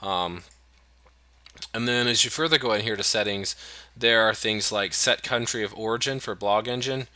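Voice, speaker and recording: A man from the US narrates a software tutorial into a close desktop mic. His voice sits somewhat low yet sounds very bright, and the recording is dry with no room sound. He explains casually, stopping for ums and restarting his sentences. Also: moderate pace, no room sound. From United States. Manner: relaxed and explanatory, many "um"s and restarts. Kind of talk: screen-recorded software walkthrough.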